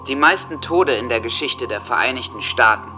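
A teenage gunman in a radio drama, full of hatred and grandiosity. His mid-range male voice seethes with intensity. Music is clearly heard behind him. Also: medium pitch, lively intonation, moderate pace, bright, forceful tone. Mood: eager menace. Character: teenage male school shooter, hateful, impatient, megalomaniac. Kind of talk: documentary radio drama acting.

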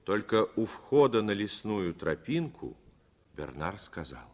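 Deep-voiced male radio-play narrator. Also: sing-song, measured pace. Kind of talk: 1950s radio drama narration.

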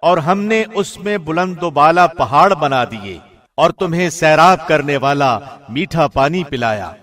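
Higher-voiced man solemnly reading scripture. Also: expressive, a bit bright. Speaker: radio host reading Quran translation.

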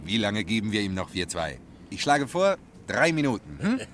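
A lonely, ironic stage actor in a radio play. His low voice moves expressively through mock-courteous, theatrical phrases, with faint noise on the vintage recording. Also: sing-song, moderate pace, fairly bright tone. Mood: suave, needling. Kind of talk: German radio play acting.

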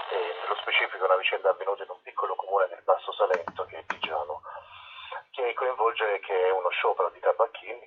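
A man being interviewed as a theatre director, speaking in a halting, reflective way over a muffled phone line. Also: low voice, husky. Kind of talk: spontaneous radio interview by phone.

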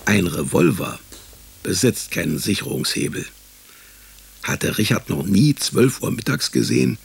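A wry detective telling his case in a radio drama. The voice is husky and gravelly, with very varied intonation. His delivery is dry and ironic. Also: low-pitched, sing-song, a little slow, exaggerated voice. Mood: sardonic. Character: wry, sardonic male private detective narrating his case. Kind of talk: first-person radio crime narration.

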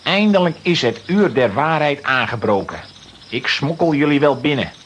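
A man's voice: on the high side, expressive, moderate pace, a bit bright, a little hiss or hum. Solemn, declamatory vagrant nobleman. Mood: resolved. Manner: grave, declamatory. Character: solemn, vengeful then repentant vagrant nobleman. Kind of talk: children's radio play acting.

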